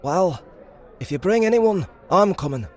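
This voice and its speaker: A man's voice: sits high, expressive, a little slow, a little mellow, some chatter behind, voice pushed up. A man voicing a loyal, stubborn male adventurer who insists on coming along, in an audiobook. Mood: insistent.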